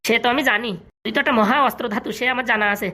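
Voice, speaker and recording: A woman's voice: on the high side, fairly even intonation, very fast, sharp tone. A woman voicing a gullible, wealthy male politician in a comic cartoon, grumbling familiarly in a rural Bengali accent. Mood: grumbling, admiring.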